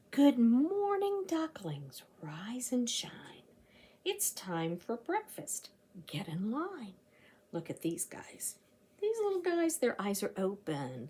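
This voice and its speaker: A middle-aged woman reads to little children in a teacherly, sing-song way. Her voice is slightly high and very lively, with a breathy, husky edge that often softens toward a whisper. She takes her time and leans on key words. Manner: animated, sing-song, with emphatic slowed words. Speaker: teacher reading aloud to young children. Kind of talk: children's read-aloud with questions to the listeners. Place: small indoor room, seated by a door.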